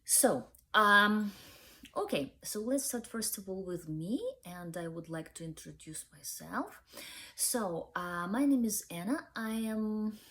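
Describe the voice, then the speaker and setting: Slow-speaking Ukrainian woman reviewing books. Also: mid-range voice, expressive, sharp tone. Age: young adult. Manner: hesitant, many fillers and restarts. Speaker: book channel host. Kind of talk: book review vlog.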